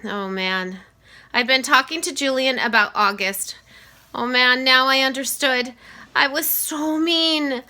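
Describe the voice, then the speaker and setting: A woman's voice: sits high, measured pace, bright, forceful tone, heavily acted. A woman voicing a casual, candid fifth-grade boy in a storybook. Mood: dismayed.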